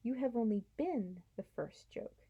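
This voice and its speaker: A woman voicing a wise, majestic male lion in a storybook reading, speaking in a slow, measured way. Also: on the high side, animated, warm tone, husky, muffled recording, full character voice. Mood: solemn.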